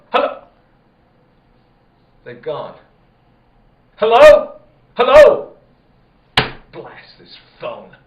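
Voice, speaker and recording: An actor playing a guilty, blustering country sheriff in an old-time radio drama, with halting, repetitive, folksy speech. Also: male voice, high-pitched, slight background noise, heavily acted. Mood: agitated. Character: guilty, blustering rural sheriff, male, increasingly panicked. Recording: dull, roomy stage recording.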